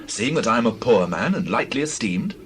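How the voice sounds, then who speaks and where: Guy as humble bible hero, questioning, lively pitch, bit of background noise. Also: a little fast. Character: humble young man, wary of royal honour. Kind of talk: dramatized Bible reading.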